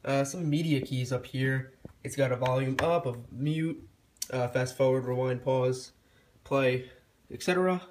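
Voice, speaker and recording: A man's voice: medium pitch, a little slow, darkish tone, slight background noise. A young man with a stuffy cold voice, casually reviewing a product with lots of fillers and restarts. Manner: rambling with frequent fillers and restarts. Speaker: tech reviewer.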